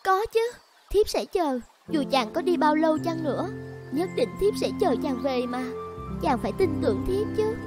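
A woman's voice: sits high, very animated, normal speed, music in the background. A woman voicing a devoted, stubborn young village woman in love in a cartoon, earnest and pleading. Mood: earnest, reassuring. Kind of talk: cartoon voice acting.

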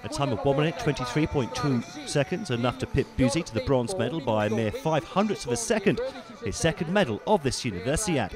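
A man giving polished, scripted voice-over commentary on ski race highlights. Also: animated. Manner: measured, polished broadcast delivery. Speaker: sports commentator.

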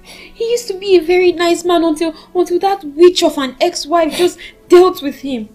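A woman's voice: very high-pitched, normal speed, a little mellow, faint music behind. An actress playing a loyal, outspoken, protective female friend with a Nigerian accent in a film drama. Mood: exasperated.

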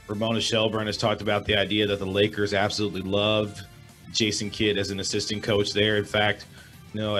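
A middle-aged man with a hoarse voice, a sports reporter giving measured analysis as a guest over a video call. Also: lowish voice, fairly bright tone. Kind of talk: remote sports talk interview. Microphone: webcam with desk microphone, video call.

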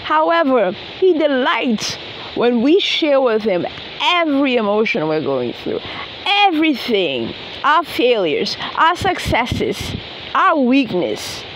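A young woman from the Bahamas talking earnestly and slowly about her faith to a phone camera held at arm's length in an open field. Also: fairly high pitch, slight background noise, poor audio. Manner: earnest, unhurried, sincere faith talk. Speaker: gospel songwriter and vlogger. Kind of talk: casual talk to camera, then unaccompanied singing. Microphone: phone camera at arm's length with earphone mic.

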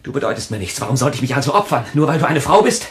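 An actor playing a cowardly, selfish lover with a revolver in a thriller radio play, tense and erratic. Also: male voice, mid-range voice, sing-song, racing, reverberant, natural voice. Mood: agitated. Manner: tense, swinging from muttering to shouting.

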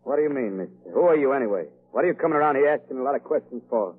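A gruff, streetwise fur dealer in a 1930s radio crime play. He talks fast and sounds surly and guarded. The old recording is thin and boxed-in. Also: medium pitch, lively intonation. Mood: defensive, irritated. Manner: gruff, suspicious, streetwise slangy speech. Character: shifty, surly fur dealer in crooked business. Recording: muffled, band-limited 1930s radio recording.

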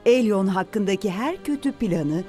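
A woman playing a thoughtful, serious young adventurer in an animated series dub, speaking expressively. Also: medium pitch, a little slow, soft background music, natural voice. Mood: intrigued.

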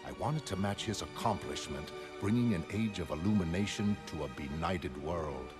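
A man performs a wealthy male visionary with a deep voice, utterly sure of his own brilliance. He speaks in measured, lofty, formal sentences, like a learned monologue from an animated comic. Music plays prominently behind him. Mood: reflective, proud. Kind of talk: audiobook-like dramatic monologue in a motion comic. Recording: studio voice over prominent music.

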